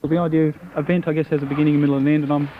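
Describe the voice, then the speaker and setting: Male activist, very quick, even delivery, phone-like archival field sound. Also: on the high side. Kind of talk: challenging an official in the field. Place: outdoors. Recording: tinny, noisy archival field recording.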